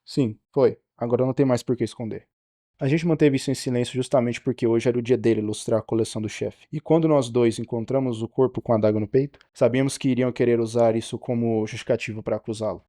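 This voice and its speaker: Man playing a quick, frank ship's cook. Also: mid-range voice, steady tone, dark tone, no echo, clean studio sound, natural voice. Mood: confessing. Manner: frank, explanatory. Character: loyal, matter-of-fact male ship's cook, protective of his friend.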